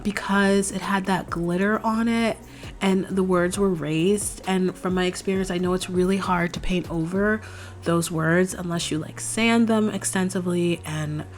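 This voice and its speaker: A young woman hosting a DIY craft video, explaining each step in a chatty, relaxed way, her voice a little hoarse from a cold. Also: lowish voice. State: slightly hoarse, recovering from a cold. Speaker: DIY craft channel host. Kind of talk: casual step-by-step craft tutorial voice-over. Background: faint background music.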